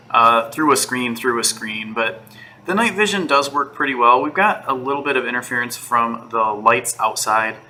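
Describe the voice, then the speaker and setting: Bright-voiced man casually reviewing gadgets. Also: medium pitch, slight background noise, rough recording. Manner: relaxed, loose with fillers and restarts. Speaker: tech reviewer.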